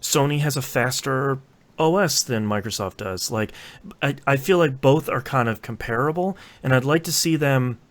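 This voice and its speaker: A man chatting casually as a podcast host, informal and halting, often repeating words as he goes. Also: medium pitch. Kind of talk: casual chat.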